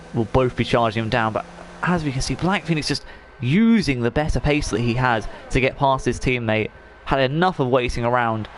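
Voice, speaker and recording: A man's voice: medium pitch, a little hiss or hum. A man commentating a sim racing league race in a chatty, rambling way, while he is losing his voice. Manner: chatty, rambling, frequent fillers and restarts.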